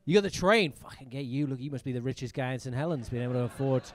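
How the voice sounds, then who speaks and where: A man's voice: medium pitch, fast, a little hiss or hum. A young man with a mixed British and American accent doing stand-up crowd work on a comedy club stage, teasing the audience and swearing, speaking into a handheld mic.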